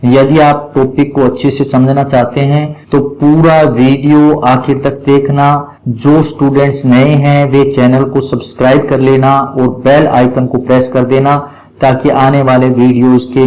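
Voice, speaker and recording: A man's voice: mid-range voice, little pitch movement, measured pace, a little mellow, poor audio. A man with an Indian accent teaching a grammar lesson, explaining methodically step by step and repeating his points. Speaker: teacher of an English grammar class.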